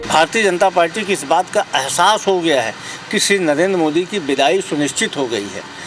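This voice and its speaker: A man's voice: fairly high pitch, expressive, normal speed, a bit bright, soft background music. A man, a political spokesman, making an emphatic press statement with repetitive, oratorical phrasing.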